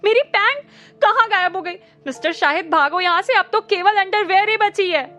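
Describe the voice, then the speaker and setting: A cheeky young man with a teasing, mocking streak who yelps high and loud when scared, as a woman narrator voices him. It sounds like a storybook reading. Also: lively intonation, normal speed, soft background music. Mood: panicked. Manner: mocking, then yelping in fright. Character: cheeky young male junior colleague.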